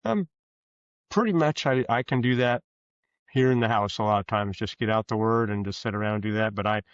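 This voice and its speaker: A man's voice: fairly low pitch, clear, resonant tone. An American man in his sixties answering casually as an interview guest, in a hesitant, folksy way. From rural Kansas, USA. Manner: hesitant, halting, folksy phrasing. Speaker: guest, author and former police officer. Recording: roomy, dull lo-fi sound.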